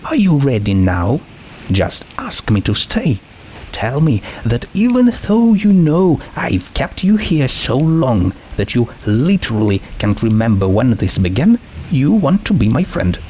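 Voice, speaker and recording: A man's voice: mid-range voice, big pitch swings, measured pace, mellow, slight background noise, pitched above the performer's own voice, low-quality recording. Man voicing a smug, swooping young princess. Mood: coaxing. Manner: condescending, sweetly menacing, emphatic. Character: smug young female pony princess, cheerfully cruel.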